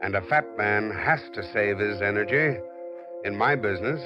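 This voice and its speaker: Low-voiced actor as a 1940s radio private eye. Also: male voice, close to an everyday voice. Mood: wry, reflective. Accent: American. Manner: measured, terse, matter-of-fact. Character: shrewd, world-weary, sympathetic heavyset male private detective. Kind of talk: 1940s radio acting, first-person detective narration. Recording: dull 1940s radio recording, music behind. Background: musical instrument.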